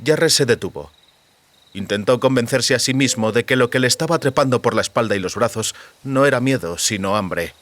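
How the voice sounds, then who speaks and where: A man's voice: mid-range voice, a little fast, a bit bright. A man narrating a literary audiobook in a dramatic, scripted storytelling style. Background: music.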